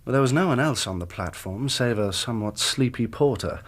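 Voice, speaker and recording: An actor playing a polite young engineer. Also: male voice, medium pitch, animated, fairly quick, lightly acted. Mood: recounting. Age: young adult. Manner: formal, polite, guarded. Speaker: hydraulic engineer. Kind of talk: 1980s radio drama acting.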